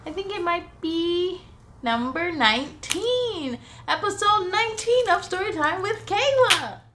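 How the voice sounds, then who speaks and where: A woman's voice: very high-pitched, expressive, measured pace, sharp tone, slight background noise. A woman hosting a children's story time in a sing-song way, talking directly to young children.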